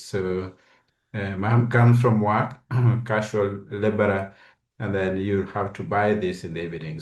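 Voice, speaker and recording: Man, Kenyan accent, low mellow voice, interview guest, explanatory answers. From western Kenya. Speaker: guest, physicist and university lecturer.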